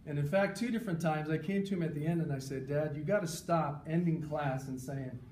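A man with a somewhat high voice speaks to an audience in an informal tribute. He is earnest and enthusiastic, like a pastor among friends. The room echoes strongly, and noticeable noise sits behind him. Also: a little fast. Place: conference session room, recorded from a distance. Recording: roomy, distant mic, loud background noise.

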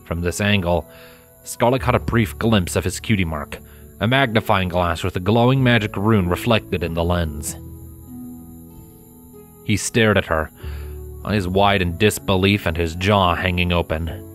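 A man's voice: low voice, measured pace, pauses often, faint music behind. A man narrating a scripted story in a dramatic audiobook style.

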